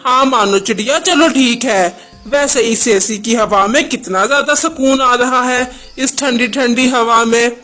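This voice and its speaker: A man's voice: extremely high pitch, a little slow, pushed and bright, full character voice. A man voicing a sly, freeloading crow in a children's cartoon story, with an exclamatory, theatrical delivery. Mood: feigned nonchalance. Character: scheming freeloading male crow, sly and self-satisfied.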